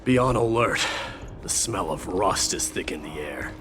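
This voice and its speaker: A man's voice: sits low, expressive, measured pace, over loud music. A man voicing a proud, grim warrior in a fantasy game, speaking in a solemn, terse manner. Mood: wary. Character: stern, grave male warrior, grim and proud. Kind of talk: fantasy video game acting.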